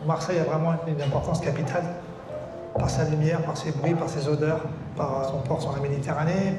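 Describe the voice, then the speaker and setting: A man from Congo, a chef, speaking thoughtfully in a short documentary interview and pausing often to find his words. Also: on the high side, steady tone. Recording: strong echo with music over it.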